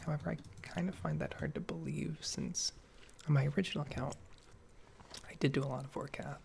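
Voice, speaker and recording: A man giving a casual, soft-spoken ASMR ramble over gameplay, hesitant and understated as he meanders from thought to thought. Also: mid-range voice, creaky. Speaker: gaming video creator. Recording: faint background noise, keyboard typing, clicking. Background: soft computer keyboard typing and mouse clicks.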